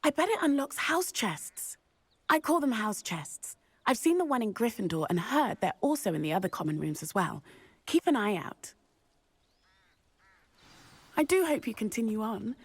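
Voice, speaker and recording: A woman voicing an eager, curious, chatty schoolgirl witch in a video game, expressive and enthusiastic. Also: fairly high pitch, moderate pace, pauses often, slightly rough, no room sound, exaggerated voice. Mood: encouraging, intrigued.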